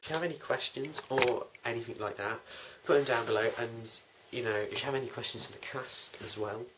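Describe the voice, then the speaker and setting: A man's voice: mid-range voice, fairly quick. A young man talking casually to viewers as a fan audio drama producer, easygoing with plenty of ums. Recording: background noise.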